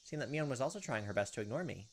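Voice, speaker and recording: A man's voice: medium pitch, expressive, racing, slight background noise. A man hosting a let's play, reading a visual novel aloud and commenting casually as he goes.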